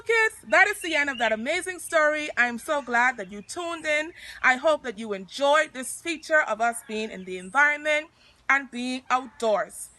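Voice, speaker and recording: A woman hosting a story time outdoors, reading aloud and speaking directly to children in an expressive way. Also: high-pitched. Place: outdoors in nature. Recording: outdoor recording with clear birdsong noise. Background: chirping birds.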